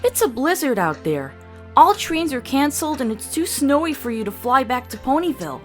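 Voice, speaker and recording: A woman's voice: high-pitched, sing-song, moderate pace, soft background music. A woman voicing a cranky, whiny young male dragon with a grumbling, sarcastic manner, for a fan comic dub. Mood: grumpy.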